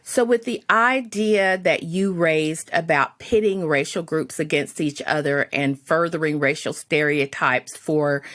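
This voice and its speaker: A woman with a notably low voice guides a panel discussion. She asks her questions in a measured way and pauses softly with "um" as she goes. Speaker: moderator.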